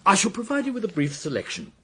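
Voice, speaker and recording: An officious personnel man in a radio comedy. His voice is bright and forceful with a husky edge, and it swings widely in pitch. He is pedantic and long-winded, savouring every regulation. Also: wildly swooping pitch, moderate pace, heavily acted. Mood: pedantic relish. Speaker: actor in a radio drama. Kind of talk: radio comedy drama acting.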